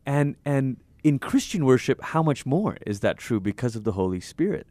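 A man from Malaysia, a pastor, talking in a lively, thoughtful way in an interview and laughing easily. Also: mid-range voice, no echo. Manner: animated, thoughtful, quick to laugh. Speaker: pastor and author. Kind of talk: conversational interview.

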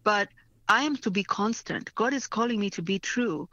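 A woman's voice: medium pitch, expressive, moderate pace, fairly bright tone, no room sound. An earnest woman from Africa talks through leadership in an interview, restarting her phrases and often checking in with the listener. Her voice comes through a slightly muffled line. The sound is close and dry. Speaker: guest, nonprofit leader. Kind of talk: reflective interview answers.

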